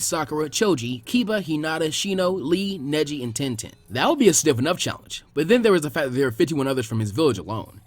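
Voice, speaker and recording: A man reading a story aloud as expressive scripted narration. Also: a little high, no echo. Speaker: narrator of fan fiction readings.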